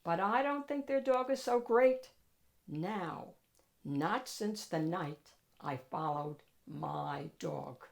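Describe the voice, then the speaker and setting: A woman reads a children's picture book aloud as host, with expressive, dramatic emphasis. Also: lowish voice, slow, gravelly. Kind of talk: animated storybook narration for children.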